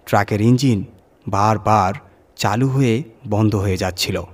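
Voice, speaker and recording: A man's voice: fairly low pitch, measured pace. A man narrating a scripted horror story as its reader.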